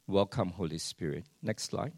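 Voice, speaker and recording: A man preaching a sermon in English. He swings between hushed lines and loud ones and keeps asking the congregation rhetorical questions. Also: low voice, moderate pace, no room sound. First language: Chinese.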